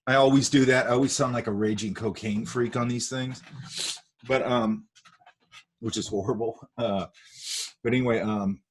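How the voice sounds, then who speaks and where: Male art instructor, husky, sniffly with a cold, talks in loose restarts over a video call. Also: medium pitch, steady tone, moderate pace, long pauses. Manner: rambling, many fillers and restarts. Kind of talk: online class lecture.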